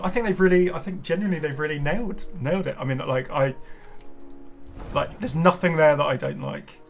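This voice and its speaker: Chatty, enthusiastic man over music. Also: a little high. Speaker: gaming channel host and commentator. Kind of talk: casual unscripted reaction commentary on game cosmetics.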